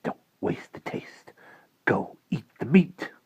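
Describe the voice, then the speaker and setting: A man's voice: fairly high pitch, huge pitch swings, measured pace, darkish tone, breathy edge, no room sound, voice pushed up, exaggerated voice. A man doing a sly, hissing wicked creature. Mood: sly coaxing. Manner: coaxing, hissing, sing-song chant. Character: wiry sly male creature, coaxing and wicked. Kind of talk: audiobook character voice. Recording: dull, dry lo-fi recording.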